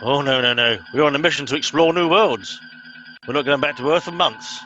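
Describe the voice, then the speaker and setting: An actor playing a pompous, blustering middle-aged English starship captain in a comic radio play, giving clipped orders. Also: male voice, mid-range voice, fairly quick, sharp tone, highs cut off, soft background music, lightly acted. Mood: self-satisfied. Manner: blustering, officious, clipped commands.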